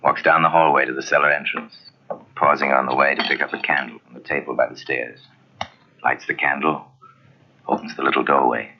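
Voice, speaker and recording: An actor playing a sly, knowing narrator of an old radio murder mystery, theatrical and insinuating. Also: male voice, extremely low pitch, flat delivery, brisk, dull audio. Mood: ominous. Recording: old radio recording, music behind.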